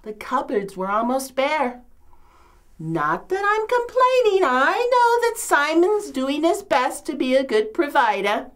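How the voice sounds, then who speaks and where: A woman's voice: high voice, huge pitch swings, measured pace, fairly bright tone, voice pushed up, full character voice. A woman playing a complaining, martyred old mother-in-law from biblical times in a comedic monologue, with a New York accent and theatrical delivery. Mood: excited kvetching. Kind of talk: comedic dramatic monologue, performed.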